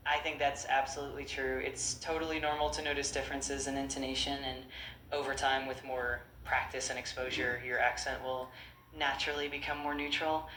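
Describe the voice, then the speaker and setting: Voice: medium pitch, little pitch movement, a little fast, pushed and bright, reverberant, a little hiss or hum, poor audio. An AI conversation partner giving upbeat, reassuring, polished replies in encouraging chatbot style.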